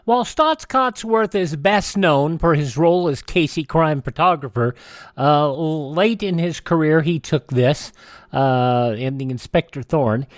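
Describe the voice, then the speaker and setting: A man hosting a radio program, informally presenting background in a halting way. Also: fairly high pitch, dry recording, clean studio sound. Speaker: radio show host.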